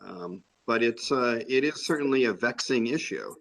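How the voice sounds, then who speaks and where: A man giving a measured academic comment at a conference, with many fillers. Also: medium pitch, lively intonation, creaky. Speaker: conference panelist. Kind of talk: academic discussion.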